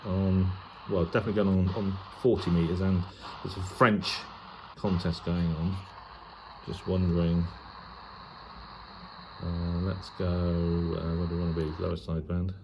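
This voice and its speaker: A man with a fairly low voice talks casually through a hands-on demo. He is hesitant, working things out aloud as he goes, like an enthusiast presenting to fellow hobbyists. There is some background noise behind him. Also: little pitch movement, unhurried. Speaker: shortwave radio hobbyist presenting a video. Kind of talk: casual unboxing and demo commentary. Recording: clear background noise and ambience.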